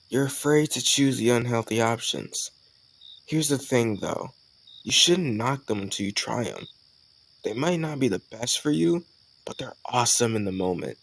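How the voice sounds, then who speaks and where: Male actor as cocky college charmer, young, low creaky voice, casual teasing delivery, romantic audio roleplay. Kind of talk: scripted romantic audio roleplay addressed to the listener.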